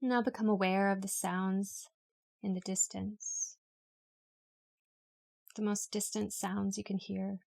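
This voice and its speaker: Even-toned woman guiding a meditation. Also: medium pitch, gravelly. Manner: calm, unhurried, instructional. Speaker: yoga nidra meditation guide. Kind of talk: guided meditation, read from a script.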